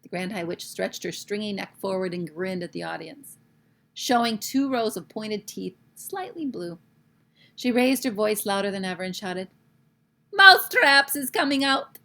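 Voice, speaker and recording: A woman reading a children's novel aloud in expressive storytelling narration. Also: mid-range voice, normal speed, vocal fry. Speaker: storybook reader.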